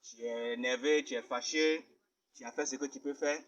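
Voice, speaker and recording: A man's voice: medium pitch, steady tone, moderate pace, a bit bright, dull audio, lightly acted. A man playing a persistent, churchgoing suitor who earnestly begs a woman to forgive him and marry him. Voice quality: earnest, begging manner.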